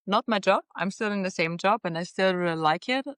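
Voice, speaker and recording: Casting: young woman, Austrian German accent, smooth clear tone, close dry sound, thoughtful casual guest. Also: mid-range voice, brisk, no room sound. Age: young adult. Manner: reflective, frequent "like" and soft laughs. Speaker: podcast guest. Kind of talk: casual interview chat. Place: apartment on a high floor.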